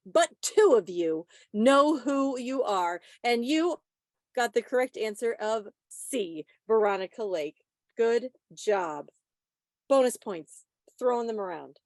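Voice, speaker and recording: A woman with a fairly high voice hosts a quiz in a chatty, informal way. She teases playfully as she goes, and the sound is dry and close, with little room in it. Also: lively intonation, a little slow, darkish tone, dry recording. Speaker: quiz host at a club meeting. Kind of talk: casual quiz presenting on a video call. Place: online video meeting.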